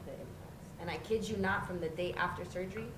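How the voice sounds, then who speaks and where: A woman's voice: fairly low pitch, quick pace, fairly bright tone. A woman in her thirties, a podcast guest, speaking candidly and matter-of-factly in casual chat. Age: 30s. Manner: candid, matter-of-fact storytelling. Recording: echoey room with noticeable background noise.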